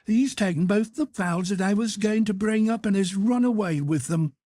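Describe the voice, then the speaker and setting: A man reading aloud and voicing a sly, greedy young female cook in a high, wheedling voice. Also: moderate pace, warm tone, no room sound, lightly acted. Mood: false alarm. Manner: wheedling, talking to herself.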